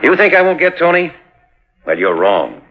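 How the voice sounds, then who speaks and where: A man's voice: on the high side, wildly swooping pitch, normal speed, full character voice. An actor playing a coldly taunting gangster hitman who gives clipped, threatening orders in a 1950s radio crime drama. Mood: smug menace. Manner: clipped commands, coolly threatening. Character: menacing hired killer, coldly taunting tough gangster. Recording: muffled old radio recording, faint music.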